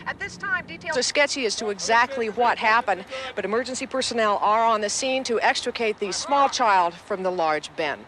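A woman's voice: fairly high pitch, animated, normal speed, fairly bright tone. A woman playing a TV field reporter with an urgent news delivery. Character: breathless female TV field reporter. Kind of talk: newscast parody.

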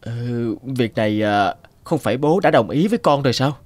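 A man voicing an earnest young man in an animated moral tale, polite and hesitant in manner. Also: medium pitch, fairly quick, dry recording, natural voice. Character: earnest young man, hesitant heir hiding his wealth.

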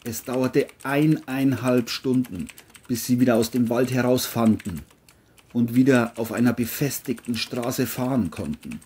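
A man's voice: medium pitch, a little slow, darkish tone, a little hiss or hum. A man reading scripted narration for an audio crime story, as its narrator.

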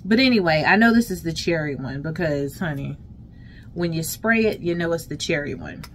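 A middle-aged American woman chatting casually and emphatically to her viewers as a lifestyle vlogger, in an unhurried way. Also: low-pitched, very animated. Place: closet at home. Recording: clear background noise, home vlog.

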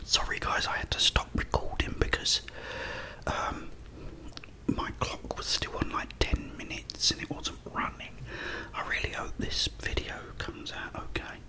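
A man's voice: unhurried, poor audio. A middle-aged man with a British accent, whispering a rambling, chatty story as an ASMR creator in a dim room, close to the mic. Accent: British English. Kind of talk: whispered ASMR chat while eating. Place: dim indoor room at a table.

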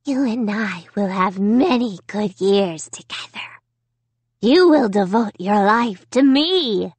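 Evil puppet villain for horror audiobook, played by a woman: raspy, whispery, commanding, very slow and menacing. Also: a little high, big pitch swings, no room sound, pitched above the performer's own voice, full character voice. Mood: gloating menace. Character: sinister living ventriloquist dummy, male, cruel and commanding. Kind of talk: dramatized children's horror audiobook.

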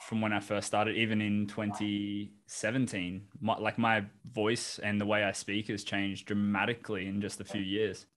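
A young Australian man hosting a podcast over a video call, talking in a relaxed, encouraging way. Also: fairly low pitch, normal speed, few pauses. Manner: relaxed, encouraging, "you know" fillers. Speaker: podcast host. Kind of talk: casual video-call interview. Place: home office, indoors.

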